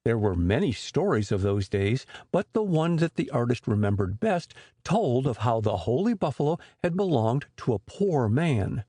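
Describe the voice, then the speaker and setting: A man with a husky voice narrating a scripted story as an expressive audiobook storyteller. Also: mid-range voice, moderate pace, few pauses, no room sound, clean studio sound.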